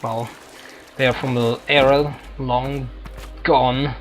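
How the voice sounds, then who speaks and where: A man doing casual let's-play commentary on a roleplaying video game, musing and haltingly thinking aloud. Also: mid-range voice, flat delivery. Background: video game music.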